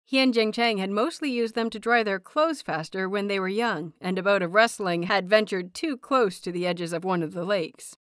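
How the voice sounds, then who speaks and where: Audiobook narrator, woman, medium pitch, expressive and measured with story prose, very dry sound. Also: smooth, dry recording. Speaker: audiobook narrator of fan fiction.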